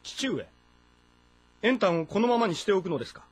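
An actor playing a suspicious, insistent young son of an ancient Chinese warlord in a game drama, urgent and accusing. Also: male voice, high-pitched, sing-song, brisk, slightly rough, exaggerated voice. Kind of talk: dramatic audio-novel game acting.

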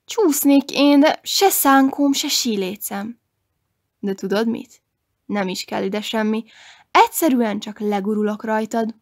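An upbeat storybook girl who never lets herself stay sad, as a woman puts her on. Her voice sits a little higher than usual, with a gravelly rasp underneath. She chats eagerly and kindly. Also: lightly acted. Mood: playful. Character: cheerful, lively girl who will not stay sad. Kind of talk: storybook character voice.

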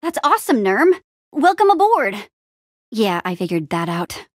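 A woman's voice: fairly high pitch, very animated, measured pace, no room sound, clean studio sound. A woman playing an upbeat, plucky young female adventurer in a video game, with an eager, wry and casual way of talking. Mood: excited, then teasing. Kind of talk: animated adventure game dialogue.

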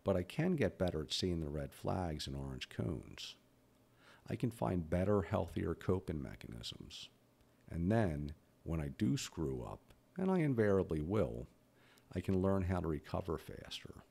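Gravelly deep-voiced man in his fifties. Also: a little mellow. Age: 50s. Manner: stutters, blunt and candid. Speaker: podcast host. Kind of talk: personal reflective monologue.

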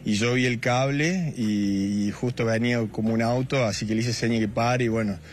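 A man's voice: mid-range voice, steady tone, normal speed, slight background noise. A man in his thirties with an Argentine accent, a neighbor telling a reporter what he saw, stumbling and repeating words. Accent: Argentine Spanish. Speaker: neighbor and witness. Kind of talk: casual interview answers. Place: outdoors on a street. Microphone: reporter's handheld mic.

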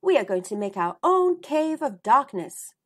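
A woman's voice: high voice, sing-song, unhurried, husky, full character voice. A woman voicing a kind, encouraging schoolteacher who instructs young children in a storybook.

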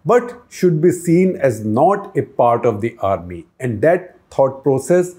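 A man's voice: medium pitch, very animated, slow pace, dark tone. An older man hosting a news explainer, talking to camera in a conversational way and posing questions to the viewer, recorded on a lapel mic in a book-lined office.

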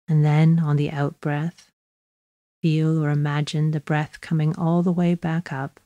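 A woman guiding a body scan meditation in a slow, measured, gently instructive way, leaving long pauses. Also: sits low, flat delivery, no room sound, lo-fi sound. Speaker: mindfulness meditation guide.

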